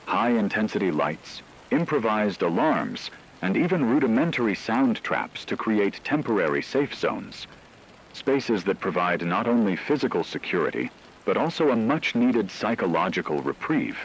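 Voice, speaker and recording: A man playing a grave radio news anchor, reading scripted emergency bulletins in a measured, formal way. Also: fairly low pitch, slight background noise, rough recording.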